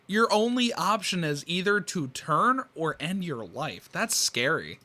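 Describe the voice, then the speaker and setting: Reaction host: young man, slightly high and sharp-edged voice, blunt, off-the-cuff. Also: very animated, a little slow. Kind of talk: casual reaction commentary.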